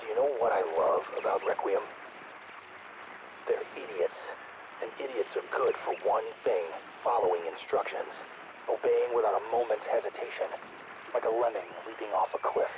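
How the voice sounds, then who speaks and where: An actor playing a smug, self-serving outpost scientist in a video game, theatrical and exasperated, heard through a radio filter. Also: male voice, lowish voice, moderate pace. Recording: muffled noisy radio transmission.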